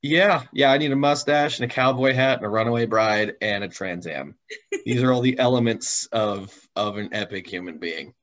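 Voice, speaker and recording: A man's voice: medium pitch, moderate pace, a bit bright. A man chatting casually as an interview guest, with a wry, jokingly stubborn manner, over slightly dull video-call audio. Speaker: interview guest telling car stories. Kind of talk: casual chat.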